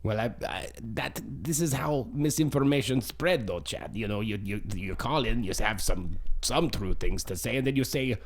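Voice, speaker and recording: A man's voice: medium pitch, lively intonation, normal speed, hardly any pauses. A man playing a paranoid co-host on a radio show, speaking broken English. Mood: defensive. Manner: broken English, bluster, accusing. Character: paranoid Russian male co-host, blustering.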